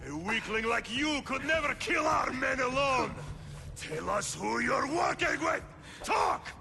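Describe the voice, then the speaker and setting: Shouting, gravelly gangster bully. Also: male voice, very high voice, expressive, a little slow, long reverb tail, background noise, exaggerated voice. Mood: angry, threatening. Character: brutal male gangster interrogator, angry and sneering. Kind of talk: video game acting.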